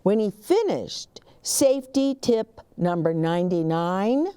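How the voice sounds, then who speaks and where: A woman hosting storytime, reading a children's picture book aloud expressively, with dramatic pauses and emphasis. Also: medium pitch, sing-song, dark tone, smooth. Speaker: children's storytime reader and host.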